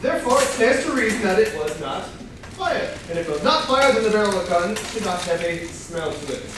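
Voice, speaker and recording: A man playing an earnest, halting, pedantic amateur detective in a staged comedy reading. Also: sits high, expressive. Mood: earnest, fussy. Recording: very echoey room, distant mic, clear noise.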